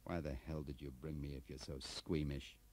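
A man's voice: extremely low pitch, little pitch movement, moderate pace, darkish tone. A man voicing a blunt, bitter British investigator in an audiobook, with a sarcastic, needling manner. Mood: bitter, weary.

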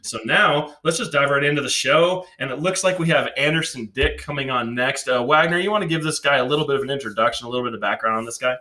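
A man's voice: mid-range voice, fast, few pauses, sharp tone, reverberant. A man in his thirties hosting a casual car show with an upbeat, enthusiastic manner, wearing a headset in a garage studio. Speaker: show host. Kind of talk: casual podcast chat.

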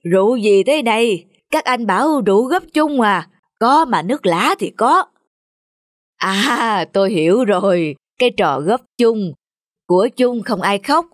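A man voicing a sardonic old villager with a mocking, drawn-out delivery in a cartoon. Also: sits high, no echo, studio quality.

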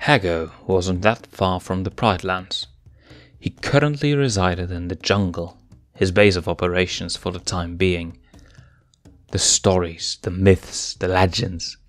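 A man narrating a fan fiction story aloud in an even storytelling manner. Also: sits low, unhurried, no echo. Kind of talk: fanfic narration.

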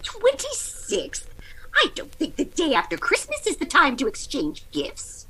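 A woman's voice: high-pitched, wildly swooping pitch, measured pace, bright, forceful tone, heavily acted. A woman playing a forgetful, kindly older landlady in an old-time radio comedy, scatterbrained and fluttery. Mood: puzzled, fond. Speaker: actor in a radio play recreation.

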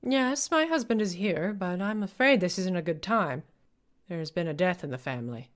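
A woman's voice: on the low side, expressive, normal speed, lightly acted. A woman playing a snobbish, condescending society wife in her forties in a noir radio drama. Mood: coolly polite. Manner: prim, condescending, formal.

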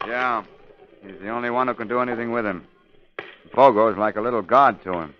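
An actor playing a gruff, exasperated baseball manager, brusque and impatient, in 1930s radio style. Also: male voice, mid-range voice, a little fast, natural voice. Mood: wry. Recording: muffled old 1930s radio recording.

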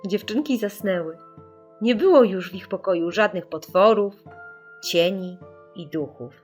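A woman narrating a children's audiobook in an expressive, scripted storytelling style. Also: medium pitch, measured pace. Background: music.

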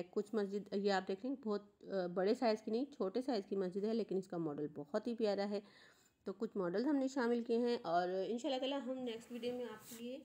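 Mellow-voiced woman hosting a home-design vlog. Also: medium pitch. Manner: unhurried, many hesitation fillers; gently repetitive praise. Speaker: channel host. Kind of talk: casual voice-over vlog.

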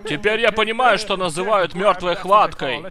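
A man dubbing a gamer, deadpan and playing along with the jokes. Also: high voice, moderate pace, crowd noise. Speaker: voice-over dubber of a gaming group. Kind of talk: comic gaming chat dub.

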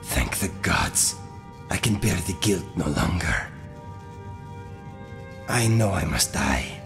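A man voicing a feline game companion, wry and honorable, with formal phrasing and deadpan humour. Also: sits low, slow pace, gravelly, music in the background, heavily acted. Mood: grave, resigned. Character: feline Khajiit adventurer, wry, guilt-ridden, honorable companion. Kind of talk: video game character dialogue.

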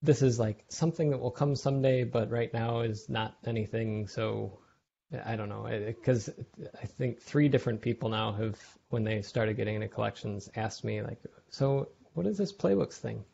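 A man's voice: fairly low pitch, moderate pace, vocal fry, dark tone. A man giving casual feedback in an online meeting, conversational and thinking aloud as he talks. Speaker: software contributor giving feedback. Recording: slightly dull online call audio.

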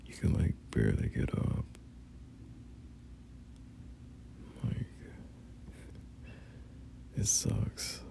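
A man playing a gentle, doting boyfriend in an ASMR roleplay, half-whispering soothing, hesitant reassurance in a breathy murmur. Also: takes it slowly, natural voice. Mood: reassuring, caring. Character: gentle, doting boyfriend comforting an unwell partner.

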